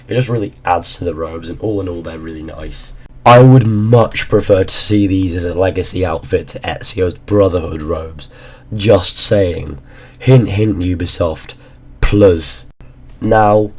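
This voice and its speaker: A low, mellow male voice, worn hoarse as he loses his voice. He rambles casually and drops the odd swear word. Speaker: gaming YouTuber. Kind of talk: casual ranking commentary.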